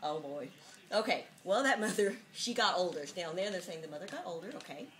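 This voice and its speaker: A woman's voice: on the low side, expressive, a little fast, fairly bright tone, slight background noise. A woman giving a mock-dramatic reading of a children's picture book, with jokey, sardonic, giggly asides, on an amateur home video.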